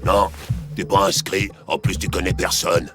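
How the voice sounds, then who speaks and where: A male actor does a dim, officious cartoon bouncer. The voice is fairly low and blunt, plodding along over clear background music. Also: flat delivery, moderate pace, close to an everyday voice. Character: gruff, slow-witted doorman guarding a party. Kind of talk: French children's cartoon acting.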